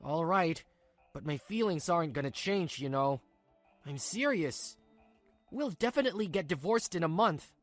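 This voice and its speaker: A man's voice: on the high side, lively intonation, moderate pace, natural voice. Guy doing a selfish dreamy husband, whiny earnest pleading, manga dub, bit of music behind. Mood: insistent. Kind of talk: dramatic manga dub dialogue.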